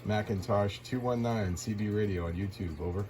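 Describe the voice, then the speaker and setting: Lowish guy doing cb radio chatter, slangy n laid back, clipped repeated callouts, really loud hiss n noise. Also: moderate pace. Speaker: amateur CB radio operator and YouTuber. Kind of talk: casual radio chat and call-outs with operator jargon.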